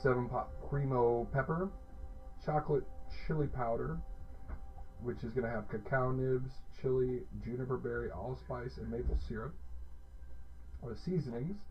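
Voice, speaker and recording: Man, mid-pitched, casual review host, enthusiastic, rambling, muffled lo-fi audio. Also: steady tone, moderate pace. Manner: enthusiastic, rambling, lots of fillers and restarts. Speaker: hot sauce reviewer, show host. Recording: dull lo-fi sound with faint music.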